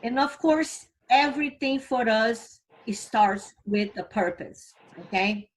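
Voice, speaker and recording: A woman's voice: a little high, slow pace, low-quality recording. Upbeat Brazilian-accented woman presenting. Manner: earnest and upbeat, asks the audience questions and checks in with "okay?". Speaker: recruiting presenter for a financial firm. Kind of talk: recruitment presentation.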